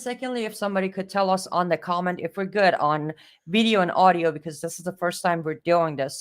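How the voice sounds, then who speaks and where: A woman with a Middle Eastern accent co-hosting a live stream, talking in a lively, emphatic way. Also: mid-range voice. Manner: animated, talkative, emphatic. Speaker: co-host. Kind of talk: casual live-stream commentary.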